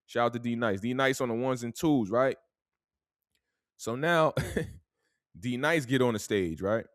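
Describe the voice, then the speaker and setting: A young man with a thick New York accent spins a long, easygoing story, loose and conversational, often finishing a thought with "man". His voice sits at an ordinary middle pitch. It is recorded dry and close, with no room sound. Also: unhurried, no room sound. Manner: laid-back, drawling storyteller, tags lines with "man". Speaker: podcast host. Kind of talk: casual storytelling.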